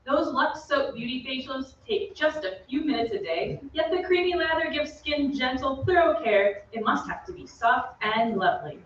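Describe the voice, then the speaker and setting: An actress playing an earnest, upbeat 1940s radio soap announcer with emphatic sales patter, read on stage. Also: female voice, high-pitched, animated, normal speed, hardly any pauses, fairly bright tone. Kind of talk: 1940s radio commercial re-enactment. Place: theatre stage, away from the mic. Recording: very echoey stage, distant, dull, faint noise.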